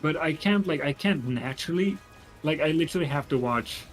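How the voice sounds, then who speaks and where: Halting non-native English-speaking man, music behind. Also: a little high, expressive. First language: not English. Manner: halting, tentative, repeats himself. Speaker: co-streamer. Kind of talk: casual chat during a game stream. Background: background music.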